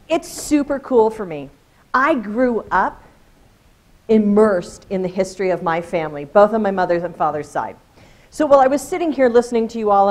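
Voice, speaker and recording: A woman with a loud, carrying voice addresses a conference room. She talks casually and with energy, keeping her presentation light and joking with her audience. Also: mid-range voice. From Colorado.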